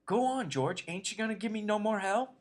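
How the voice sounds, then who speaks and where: A man's voice: normal speed, close to an everyday voice. A man doing a childlike, whiny simple man. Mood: eager. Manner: high pleading whine. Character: slow-witted big gentle man, childlike and anxious.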